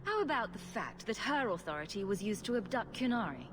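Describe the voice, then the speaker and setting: A woman's voice: medium pitch, very animated, fairly quick, natural voice. An actress playing a bold, sarcastic female rogue adventurer in a video game, with a teasing, challenging manner. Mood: sardonic, challenging. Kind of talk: video game cutscene acting. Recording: clear background ambient noise.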